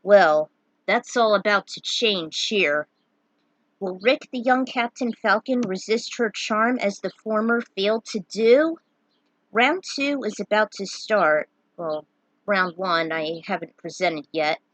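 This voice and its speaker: A woman with a mid-pitched voice recaps and reads a fan story. Her delivery is theatrical and emphatic, and the recording is dry with no room sound. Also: slow pace, a bit bright, no echo, rough recording. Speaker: fan fiction writer and narrator. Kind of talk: dramatic recap and reading of own fan fiction.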